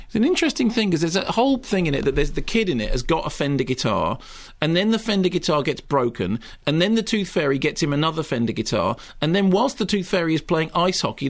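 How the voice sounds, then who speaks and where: A man's voice: fairly high pitch, no room sound. A middle-aged man reviewing films on a radio show, opinionated and rambling, with wry asides and restarts. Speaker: film critic. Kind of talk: casual radio film review chat.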